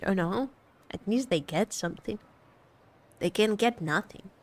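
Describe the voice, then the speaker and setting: A woman's voice: medium pitch, a little slow. A woman streaming as a VTuber, chatting casually about a game in a teasing, joking, giggly way. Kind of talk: casual livestream chat reacting to a game.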